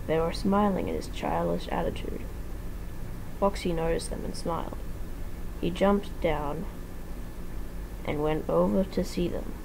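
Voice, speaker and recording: A woman's voice: fairly low pitch, gravelly, background noise. A woman giving an amateur dramatic reading of a fan-written story as its narrator.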